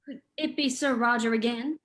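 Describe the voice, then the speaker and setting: A woman's voice: a little high, normal speed, fairly bright tone, slight background noise, natural voice. A woman playing a polite, anxious lady companion to a sleuth in an old-time radio drama, proper and theatrical. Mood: polite, uneasy.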